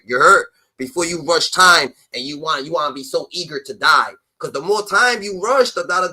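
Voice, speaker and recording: A man in his twenties holds forth on a casual live stream. He talks emphatically and preachily and keeps circling back to his catchphrases. His voice sits high and sounds bright and forceful. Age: 20s. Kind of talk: casual livestream chat.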